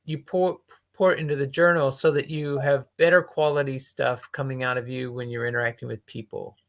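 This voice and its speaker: A man's voice: medium pitch, steady tone, fairly quick. A man hosting a casual conversation, thinking aloud in a reflective way as he puts his thoughts together. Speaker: host.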